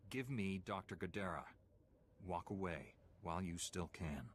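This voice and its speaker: A man's voice: deep voice, expressive, moderate pace, a little hiss or hum. An actor playing a defiant male Jedi knight in a game who speaks in a firm, challenging way.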